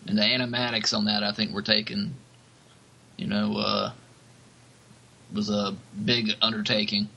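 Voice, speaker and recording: A man's voice: fairly low pitch, level tone, unhurried, gravelly, pushed and bright, low-quality recording. A man rambling casually as a podcast co-host, often falling back on "you know".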